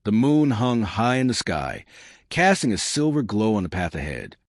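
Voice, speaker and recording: A man narrating a scripted horror story in a measured, dramatic way. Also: fairly low pitch, sing-song, no room sound, studio quality.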